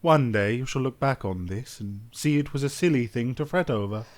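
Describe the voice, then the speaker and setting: An actor playing a flattering middle-aged duke and father who fawns over his king in a fantasy audio drama. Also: mid-range voice, normal speed, lightly acted. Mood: jovial. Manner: obsequious and flattering.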